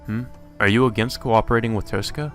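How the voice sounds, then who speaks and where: A man's voice: fairly low pitch, quick pace, soft background music. A man doing a let's play, reading visual novel text aloud and commenting casually. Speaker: let's player.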